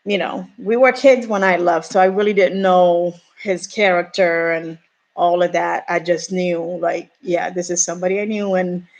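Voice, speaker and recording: A woman in her forties from Ghana, telling a personal story on her vlog in a slow, hesitant way with many pauses. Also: lowish voice, fairly even intonation, highs cut off. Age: 40s. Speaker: YouTuber.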